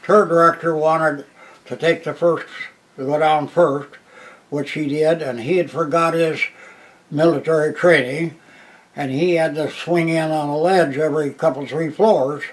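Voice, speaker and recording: A man, a war veteran telling his story plainly with restarts and a loud voice. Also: on the high side, fairly even intonation, low-quality recording. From Minnesota, USA. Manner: plain unhurried retelling with restarts. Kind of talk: oral history storytelling.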